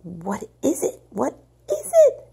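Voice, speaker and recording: A woman's voice: medium pitch, huge pitch swings, takes it slowly, vocal fry, warm tone, slightly rough, voice pushed up, heavily acted. An elegant young mother in a storybook, frantic with fear, as a woman reader puts her on. Her voice lifts well above a normal speaking level, in anguished exclamations. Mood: panicked.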